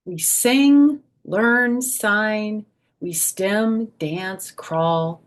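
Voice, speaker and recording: An older woman doing a children's read-aloud storytime, moving between expressive sing-song reading and soft, gentle asides. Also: medium pitch, very slow. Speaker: storyteller, children's author and former schoolteacher.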